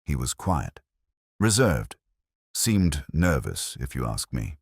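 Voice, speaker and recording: A man voicing a gruff, dismissive army colonel with a brusque, curt manner in a storybook mystery. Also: very deep voice, very animated, slow, dry recording, clean studio sound, full character voice. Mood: brusque, defensive.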